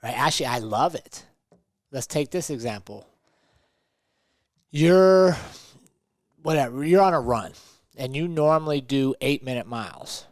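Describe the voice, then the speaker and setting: A man's voice: mid-range voice, animated, unhurried, long pauses, no room sound. Emphatic motivational man, close dry sound. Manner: emphatic, rhetorical, checks in with "right?". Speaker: podcast co-host. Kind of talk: motivational podcast conversation.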